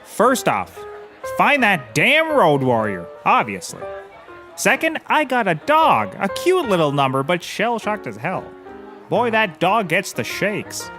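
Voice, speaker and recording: A man's voice: high voice, wildly swooping pitch, takes it slowly, music in the background, heavily acted. A man playing a hapless, scatterbrained private eye in a scripted audio comedy, rambling earnestly and cheerfully oblivious. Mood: eager, rambling.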